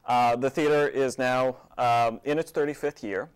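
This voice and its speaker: A man's voice: mid-range voice, steady tone, normal speed, clean tone. An American man speaking as a theater director guest, explaining things in a studio interview.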